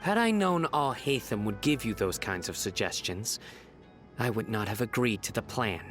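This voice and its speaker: A stern, principled male law enforcer with a lowish voice. He speaks gravely and formally, never bending, in anime game style over background music. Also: lively intonation, moderate pace, a bit bright. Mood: disapproving.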